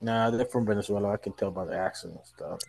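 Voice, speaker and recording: A man's voice: on the low side, fast talker, creaky, a little mellow. A man chatting casually on a political podcast, jumping in loosely and often trailing off.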